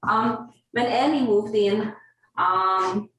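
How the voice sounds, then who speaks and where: A woman's voice: mid-range voice, takes it slowly, fairly bright tone. A woman from Hungary with a Hungarian accent, a religious sister chatting casually with many fillers and easy laughter. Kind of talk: casual group chat. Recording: very echoey room, distant mic, faint noise.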